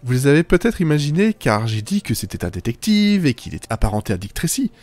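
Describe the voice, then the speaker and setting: Wry male narrator with lively intonation. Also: mid-range voice, brisk, faint music behind. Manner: self-deprecating, wry. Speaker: writer and narrator of a humour podcast. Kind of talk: scripted comedic narration.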